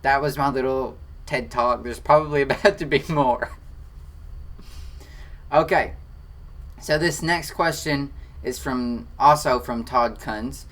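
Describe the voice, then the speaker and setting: Excitable teen with Kentucky twang. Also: male voice, mid-range voice, slow, long pauses. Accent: Kentucky country. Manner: excitable, chatty, defensive then joking. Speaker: photography channel host. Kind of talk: casual Q&A reading comments. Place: home office studio.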